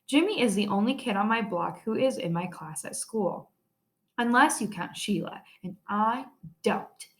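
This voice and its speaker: A woman teacher reading a children's story aloud expressively, stressing key words. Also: medium pitch.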